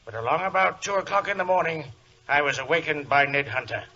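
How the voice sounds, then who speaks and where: An actor playing a blustering, excitable colonel and racehorse owner in a 1940s radio drama, emphatic. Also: male voice, fairly high pitch, quick pace. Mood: earnest, recounting. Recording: muffled, hissy 1940s radio recording.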